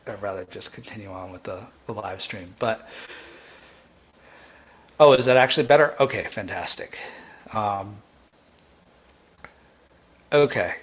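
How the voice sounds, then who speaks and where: A man hosting a live coding tutorial, thinking aloud in a halting, informal way and often restarting his sentences. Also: lowish voice. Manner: halting, self-interrupting, informal. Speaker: livestream host, programming instructor.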